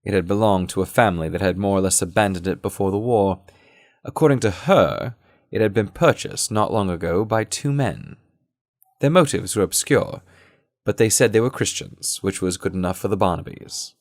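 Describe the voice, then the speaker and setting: A man's voice: lowish voice, moderate pace, darkish tone, dry recording, clean studio sound, lightly acted. An actor playing a genteel adventurer who recounts his exploits in formal, wordy, conversational audio drama storytelling. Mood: composed, recounting. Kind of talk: first-person audio drama narration.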